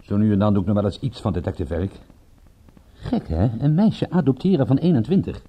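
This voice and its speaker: An actor playing a brooding, blunt, dogged private detective in a radio drama, with a slightly husky voice. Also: male voice, lowish voice, very animated, brisk, lo-fi sound. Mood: probing, wry.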